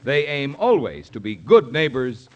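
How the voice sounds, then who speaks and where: A man's voice: mid-range voice, very animated, unhurried, muffled recording. A man reading scripted 1950s radio announcements as the show's announcer, on a vintage broadcast recording. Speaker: radio announcer and sponsor spokesman. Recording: vintage radio broadcast, some music behind.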